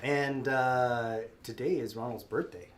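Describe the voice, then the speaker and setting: A man's voice: medium pitch, fairly even intonation, a little slow. Man, stuffed up with a cold, live shop stream host, rambling, fillers, faint background noise. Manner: friendly, rambling, many fillers and restarts. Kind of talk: casual live-stream chat.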